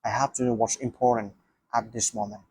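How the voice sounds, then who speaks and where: Man, non-native speaker, husky, mid pitch, casual solo talk, repeats himself. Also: flat delivery, brisk, a little mellow, no echo. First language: not English. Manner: thinking aloud with many restarts and repeats. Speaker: language learner.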